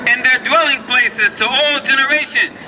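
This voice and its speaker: A man street preaching, explaining scripture to a passerby in a measured, lecturing way on a city corner. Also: extremely high pitch, over music, rough recording. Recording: outdoor street with crowd and traffic noise.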